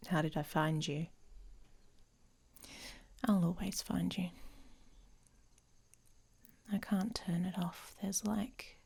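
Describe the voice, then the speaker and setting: A woman playing a grumpy, guarded female werewolf in an intimate ASMR roleplay, speaking in a halting, breathy, often whispered murmur. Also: fairly low pitch, darkish tone, heavily acted. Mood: soft, protective.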